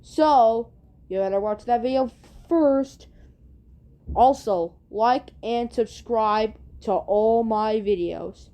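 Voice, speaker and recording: A woman's voice: a little high, slow, mellow. A woman chatting casually to viewers as the host of her own video. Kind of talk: casual video intro and outro chat.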